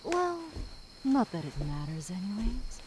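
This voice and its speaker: A woman playing a sadistic, obsessive demon woman in an ASMR roleplay, gloating and possessive, with menacing breathy whispers that can break into shouts. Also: mid-range voice, sing-song, measured pace, background noise. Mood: mocking, teasing.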